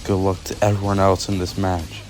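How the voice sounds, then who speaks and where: A man's voice: deep voice, monotone, brisk, a little mellow, faint music behind. A man doing pro wrestling commentary and introductions, drawing out his phrases as he announces.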